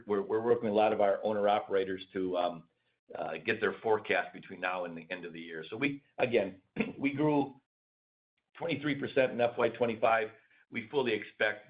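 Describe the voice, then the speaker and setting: Low-voiced male executive, casual. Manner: casual, repeats words, uses everyday comparisons. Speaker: company executive. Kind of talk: answering questions on a conference call. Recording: dull lo-fi call audio.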